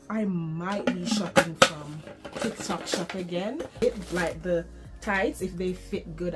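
A young woman chatting candidly in a haul vlog, rambling through asides as she unboxes things in her bedroom. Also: lowish voice. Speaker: vlogger. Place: bedroom, indoors.

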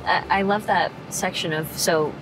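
A woman's voice: fairly low pitch, steady tone, moderate pace, background noise. A woman interviewer asking curious, follow-up questions.

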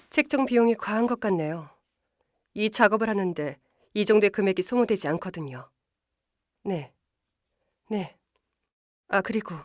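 A woman's voice: mid-range voice, no echo, studio quality, natural voice. An actress playing a curt but secretly kind office team leader in her thirties in a Korean audio drama. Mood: curt, businesslike.

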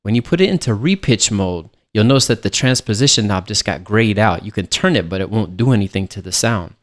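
Guy with a lowish voice doing a music software tutorial, casual explainer style, close mic. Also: expressive, a little fast. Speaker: music production instructor.

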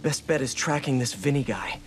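A man's voice: medium pitch, lively intonation, normal speed, music in the background, natural voice. An actor playing a quippy, earnest young male superhero in a video game, talking in a wry, joking inner monologue over a game score. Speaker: game hero.

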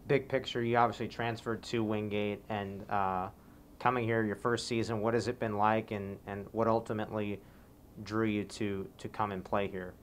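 An interviewer asking postgame questions off camera, friendly and a bit halting with fillers. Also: medium pitch, steady tone, slight background noise. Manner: friendly, with fillers and repeated words. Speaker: sports interviewer.

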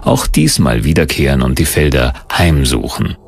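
A man's voice: very deep voice, sing-song, normal speed, gravelly. A man reading scripted documentary narration as the narrator. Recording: music under the narration. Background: background music.

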